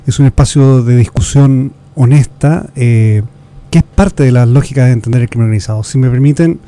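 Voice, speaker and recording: Chilean male guest expert, quick, dark-toned, earnest explainer. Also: medium pitch. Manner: earnest, lecturing, restarts words, uses rhetorical questions. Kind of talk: explanatory interview talk.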